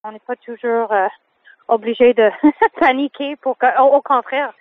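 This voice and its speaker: A singer whose first language is English speaks French, hesitant and full of fillers. Her voice sits fairly high. She comes through a tinny phone line. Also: normal speed, no room sound. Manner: hesitant, many fillers and restarts. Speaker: singer guest. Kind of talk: phone interview.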